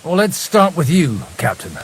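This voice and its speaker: An actor playing a self-assured, dry-witted medieval adventurer in a game, assertive and sardonic. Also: male voice, fairly high pitch, sing-song, normal speed, a little hiss or hum. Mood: dry, questioning.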